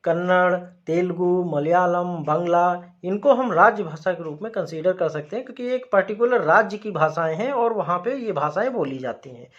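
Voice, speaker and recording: Man from India, high voice, explanatory lesson, repeats points for emphasis, dull lo-fi recording. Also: moderate pace, few pauses, a bit bright. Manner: repetitive, emphatic teacher phrasing. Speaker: educator.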